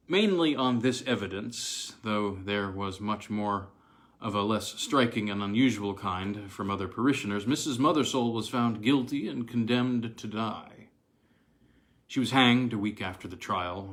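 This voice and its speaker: A man narrating a classic ghost story as a literary audiobook, reading expressively in a measured way with deliberate pauses. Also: fairly low pitch, fairly bright tone.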